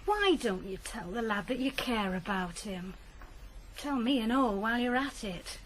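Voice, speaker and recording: A woman playing a brisk, forthright working-class housewife in a radio drama. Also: fairly high pitch, lively intonation, a little hiss or hum, natural voice, poor audio. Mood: exasperated. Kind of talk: 1980s British radio drama acting.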